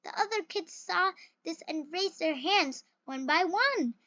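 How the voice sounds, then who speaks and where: A reader with an expressive, carefully phrased delivery, reading a children's picture book aloud. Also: very high-pitched, unhurried, dry recording, highs cut off. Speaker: story reader.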